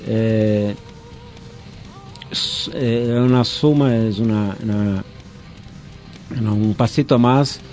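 A man, a band member and guest, talking in a casual radio interview, speaking Spanish as a second language and pausing often to search for words. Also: fairly low pitch, darkish tone, soft background music. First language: not Spanish.

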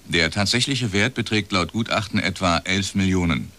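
A man's voice: deep voice, normal speed, sharp tone. An actor playing a male radio newsreader, delivering a bulletin in a formal, neutral, precise manner within a radio drama.

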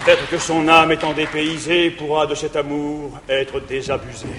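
A man playing a jealous middle-aged guardian in declaimed stage verse, swinging from tender pleading to shouting, in a reverberant 1959 theatre recording. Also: a little high, level tone, moderate pace, very noisy, lightly acted. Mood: angry. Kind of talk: 1950s French stage verse acting.

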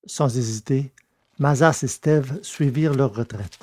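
A man reading a science fiction story aloud in a steady, measured narration. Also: mid-range voice. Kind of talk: scripted audiobook narration.

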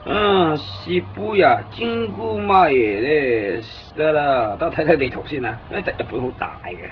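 An actor playing a talkative, excitable young Hong Kong man living in Tokyo who keeps joking and offering to guide a visitor, speaking with a Hong Kong Cantonese accent in a 1990s radio play. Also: on the high side, normal speed, lightly acted. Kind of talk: 1990s radio drama acting. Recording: dull lo-fi 1990s radio recording.

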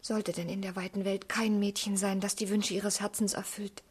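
An actress playing a tender, troubled young woman in a radio drama, with a breathy, husky voice and earnest delivery. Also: mid-range voice, flat delivery, moderate pace, close to an everyday voice. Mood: earnest, distressed. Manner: earnest, pleading, sometimes dropping to a whisper. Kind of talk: German literary radio drama acting.

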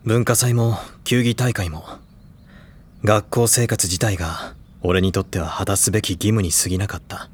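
An actor playing a stoic, reserved high school boy, talking in a measured, serious way in an audio drama. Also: husky. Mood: wistful. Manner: measured, formal, restrained. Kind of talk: Japanese audio drama dialogue.

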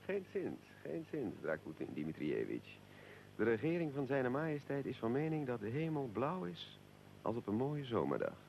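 An actor playing a suave, evasive Russian military attaché in a radio drama, unctuously polite and deliberate. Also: male voice, mid-range voice, very animated, creaky, darkish tone, slight background noise, rough recording. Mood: smooth, reassuring.

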